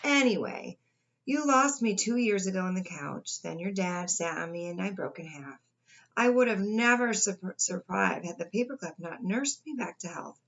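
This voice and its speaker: A woman's voice: mid-range voice, lively intonation, moderate pace, fairly bright tone, lo-fi sound. A woman voicing a wronged, whiny storybook crayon with a dramatic, complaining manner, begging to be rescued. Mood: aggrieved, pleading. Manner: dramatic, put-upon complaining.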